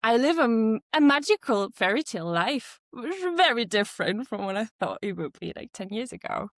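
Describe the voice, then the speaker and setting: A woman's voice: on the high side, sing-song, a little fast, dry recording, studio quality. A woman in her thirties from Austria, a podcast guest telling her personal story in a chatty way, often laughing and restarting her sentences.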